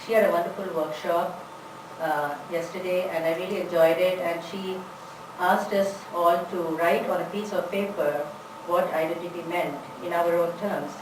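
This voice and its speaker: A woman's voice: lowish voice, steady tone, moderate pace. Woman presenter in an echoey hall. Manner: warm, chatty, fillers like "you know". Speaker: conference presenter, English teacher. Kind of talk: conference talk and Q&A. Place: large conference room. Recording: echoey hall, distant mic, background noise.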